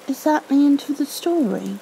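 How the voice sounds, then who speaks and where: A small boy from a storybook, played by a woman, earnest and full of questions. The voice is soft and slightly high, unhurried, with a little creak and faint noise behind it. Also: animated, rough recording. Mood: earnest, curious. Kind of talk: storybook read-aloud.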